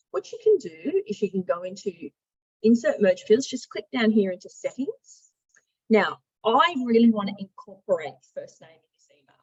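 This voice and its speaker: A woman giving an informal, instructional software demo as a trainer, talking in a conversational, emphatic way. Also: mid-range voice, breathy edge, highs cut off. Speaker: software trainer, marketing director.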